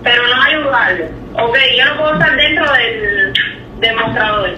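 A woman's voice: fairly high pitch, normal speed, pushed and bright, highs cut off, slight background noise. A woman on a speakerphone call, answering compliantly and mostly briefly. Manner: compliant, short replies. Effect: telephone on speaker. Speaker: prank call victim. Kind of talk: phone conversation. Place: heard through a phone on a table. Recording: distant speakerphone call in echoey room.

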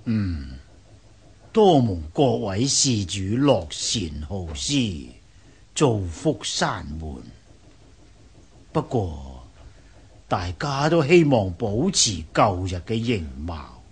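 Radio drama old monk, Hong Kong Cantonese, very husky, lively intonation, measured and courteous. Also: male voice, fairly low pitch, exaggerated voice. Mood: calm, courteous. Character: courteous old Buddhist monk, head of a temple.

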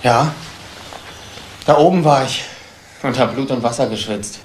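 An actor playing a nervous young homeless burglar in a crime drama, speaking in an agitated, halting, defensive way. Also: male voice, medium pitch, slight background noise, close to an everyday voice. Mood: nervous, defiant. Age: young adult. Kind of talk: German TV crime drama acting.

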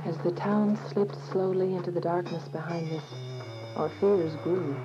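A woman's voice: fairly low pitch, fairly even intonation, moderate pace, lightly acted. Young woman fugitive played by an actress, radio drama; earnest, literary, fearful. Dull recording over prominent music. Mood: solemn, tense. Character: frightened, devout young woman fugitive telling her story. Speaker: narrator and lead actor. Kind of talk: dramatic first-person radio drama narration. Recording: dull, lo-fi audio with music behind.

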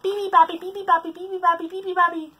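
A woman's voice: very high-pitched, flat delivery, fairly quick, dull audio, voice pushed up. A woman voicing a cheerful, wide-awake baby bird with sing-song chirping in a children's storybook. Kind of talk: children's storybook read-aloud.

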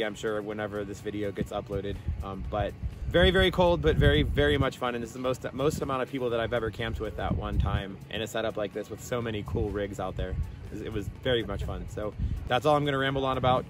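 A man's voice: lowish voice, quick pace, over music. A man giving a casual, rambling recap as a trip participant, recorded outdoors.

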